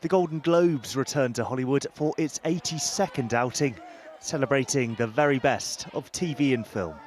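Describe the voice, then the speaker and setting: A male reporter reading a scripted entertainment news package in crisp, punchy phrases. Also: medium pitch, normal speed, a little mellow, some chatter behind, slight background noise.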